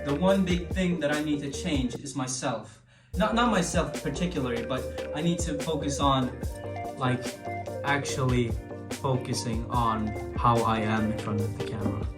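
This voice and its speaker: A man's voice: medium pitch, normal speed. A man from Sweden speaking English with a Swedish accent, musing in a casual vlog with frequent restarts and filler words. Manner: hesitant, many restarts and filler likes. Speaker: vlogger. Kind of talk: reflective casual monologue. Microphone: built-in camera mic after external mic died. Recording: talk over clear background music.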